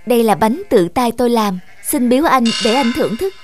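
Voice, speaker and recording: A woman voicing a gentle young snow maiden with a tender, solemn manner in a fairy-tale cartoon. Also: on the high side, expressive, fairly quick, natural voice. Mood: polite. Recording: music behind the voice.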